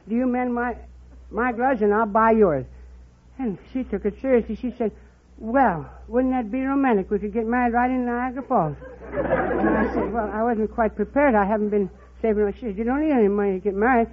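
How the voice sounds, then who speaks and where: A man's voice: very high voice, expressive, moderate pace, some chatter behind. An American man in his seventies from Kentucky, telling a meandering anecdote in a folksy way as a show contestant. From Kentucky, United States. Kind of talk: rambling anecdote. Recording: tinny, noisy old radio recording with audience. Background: live studio audience.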